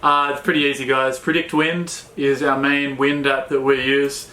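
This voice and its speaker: An Australian man co-hosting a sailing chat, talking in a relaxed, plain way with many fillers. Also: mid-range voice, normal speed, reverberant, rough recording. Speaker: sailing vlog co-host. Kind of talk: casual Q&A chat.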